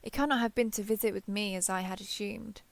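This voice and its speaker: A woman's voice: mid-range voice, fairly even intonation, a little fast, creaky, dry recording, close to an everyday voice. A woman voicing a proud, ancient female dragon in a fantasy roleplay audio, with formal, haughty, archaic phrasing. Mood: defiant, proud.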